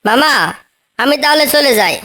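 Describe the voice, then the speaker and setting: A woman's voice: high voice, animated, moderate pace, bright, forceful tone. A woman voicing a small, clever female bird in a children's cartoon, with a coaxing, pleading manner. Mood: pleading, hurt. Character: small clever female tailorbird, helpful, wheedling niece. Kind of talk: children's cartoon story dialogue.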